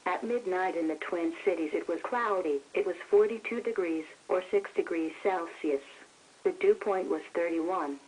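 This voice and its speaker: A woman's voice: fairly low pitch, normal speed, a little mellow, husky. An American woman reading a scripted weather report on the radio in a formal, synthetic announcer delivery. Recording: radio broadcast with telephone-like tone.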